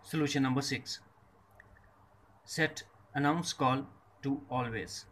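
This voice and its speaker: A man's voice: mid-range voice, slow, a bit bright. A man reading a scripted step-by-step tech tutorial in short, separated instructional phrases. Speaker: tutorial presenter.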